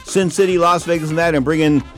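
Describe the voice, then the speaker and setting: A man's voice: fairly high pitch, fairly even intonation, fast talker, soft background music. A man hosting an interview, talking in a loose, slangy, chatty way. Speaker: interview host. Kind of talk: casual interview talk.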